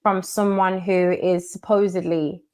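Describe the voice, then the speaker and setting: A woman from the United Kingdom giving commentary in a measured, emphatic way, repeating her key phrases. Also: lowish voice, level tone, a little mellow. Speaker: commentator in a played video. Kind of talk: monologue commentary.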